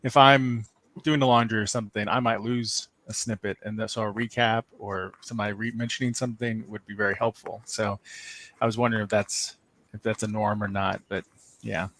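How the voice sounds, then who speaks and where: A man with a slightly low voice talks quickly on a panel. His style is casual and conversational. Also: little pitch movement, a bit bright.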